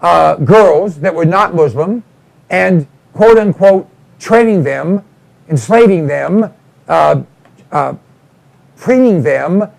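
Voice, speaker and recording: A man hosting a talk show, introducing his guest in a halting way with many fillers. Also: fairly high pitch, expressive, gravelly, darkish tone, poor audio. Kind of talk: casual talk show interviewing.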